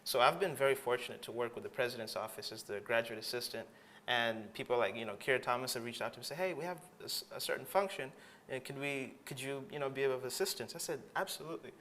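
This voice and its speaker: An eager man from Tanzania chats about his studies as an interview guest. His voice is bright and forward, with a middle pitch. He rambles warmly through fillers and restarts. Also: fast, a little hiss or hum. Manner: enthusiastic, rambling with many fillers. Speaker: graduate student guest. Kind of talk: casual interview answers.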